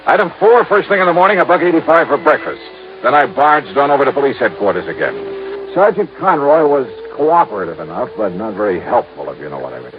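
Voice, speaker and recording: A man playing a brisk, dogged insurance investigator in 1950s radio drama, with punchy, wry, impatient delivery. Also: medium pitch, very animated, soft background music, lightly acted. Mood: wry narrating. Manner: punchy, clipped, wry first-person delivery. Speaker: lead actor and narrator. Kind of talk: 1950s radio detective acting. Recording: dull, noisy old radio recording.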